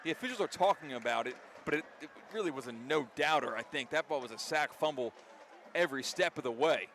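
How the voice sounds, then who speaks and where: Man, slightly high voice, excitable football play-by-play commentator, live radio style, faint outdoor stadium noise. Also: fast. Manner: excitable, rising to shouts on big plays. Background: faint outdoor ambience.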